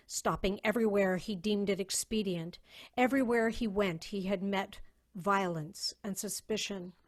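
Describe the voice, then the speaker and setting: Woman narrating a dramatic science fiction tale. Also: medium pitch. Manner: measured, literary storytelling. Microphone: video call.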